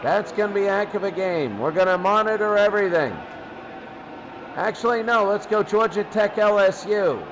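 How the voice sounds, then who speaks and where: Male football score host, high voice, unhurried clipped fragments, noisy recording. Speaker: sports studio host. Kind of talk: off-the-cuff college football score commentary. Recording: clearly noisy background.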